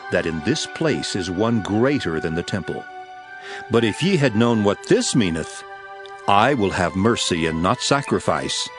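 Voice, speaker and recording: Jesus as a grave, gentle teacher, delivered in a lowish voice that rises sharply on exclamations. Pitch moves widely across phrases. Soft music plays underneath. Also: male voice. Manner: solemn measured delivery, rising to intense exclamations. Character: Jesus, calm grown man teacher, solemn and gentle. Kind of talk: dramatized Bible reading. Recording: studio voice with music beneath.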